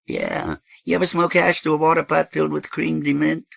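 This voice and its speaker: A man acts out an easygoing, seasoned stoner with tattoos for an audiobook. The voice is husky and creaky and swings about freely as he rambles in emphatic slang. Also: a little high, big pitch swings, a little fast, a bit bright, no room sound, studio quality, voice pushed up, exaggerated voice. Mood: chatty, amused. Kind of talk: audiobook character dialogue.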